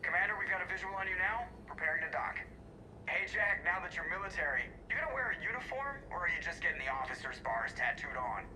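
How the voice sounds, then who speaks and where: Game role: sarcastic male ship's pilot, animated pitch, faint noise behind. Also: fast talker, exaggerated voice, rough recording. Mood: businesslike. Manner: sarcastic, joking. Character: wisecracking, cocky male spaceship pilot. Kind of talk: video game voice acting.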